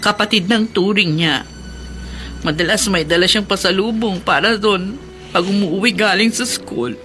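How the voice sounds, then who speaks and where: A woman's voice: mid-range voice, normal speed, a bit bright, faint music behind, lightly acted. An actress playing an anguished, protective working-class mother, plaintive and emotional in a Filipino radio drama. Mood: sorrowful.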